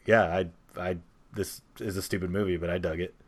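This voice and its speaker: A man's voice: deep voice, fairly even intonation, a little fast. A man hosting a casual film discussion among friends, speaking in an informal, conversational and mildly sweary way. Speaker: podcast host.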